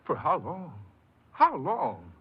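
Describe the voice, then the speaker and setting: An actor playing a desperate, earnest ex-convict in a 1950s American radio crime drama, pleading and hesitant, often repeating himself. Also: male voice, a little high, wildly swooping pitch, slow, breathy edge, heavily acted. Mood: anxious. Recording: muffled, hissy 1950s radio recording.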